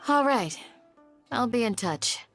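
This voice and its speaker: A woman's voice: on the high side, lively intonation, takes it slowly, a bit bright, soft background music, exaggerated voice. A woman playing a poised, formidable warrior guardian in a video game, composed and formal with a commanding manner. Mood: composed, courteous.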